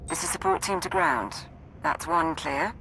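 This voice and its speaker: An actress playing a guarded military support operator in a video game, brisk and businesslike. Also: female voice, low voice, noisy background. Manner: clipped, businesslike. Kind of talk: video game cutscene acting.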